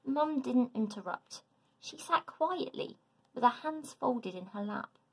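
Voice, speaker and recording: A woman reads a children's story like a teacher addressing her class. Her delivery is dramatic and deliberate, with very slow pacing. The voice is pitched fairly high. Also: animated, vocal fry, a little mellow, husky, rough recording. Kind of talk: expressive children's storybook narration.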